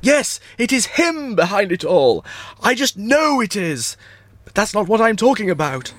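A man's voice: sits high, very animated, a little slow, fairly bright tone, heavily acted. A man voicing a panicky, eccentric mad scientist in a comedy audio sketch, melodramatic and exclamatory. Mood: grave, dramatic.

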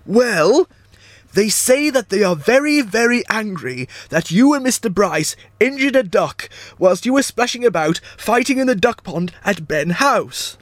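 A man's voice: sits high, lively intonation, a little slow, no echo. A man voicing a panicky, eccentric mad scientist in a comedy audio sketch, melodramatic and exclamatory. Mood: explaining.

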